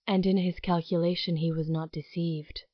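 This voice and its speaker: Female narrator for audio drama: lowish voice, formal, deliberate storytelling, close dry sound. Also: level tone, no echo, highs cut off.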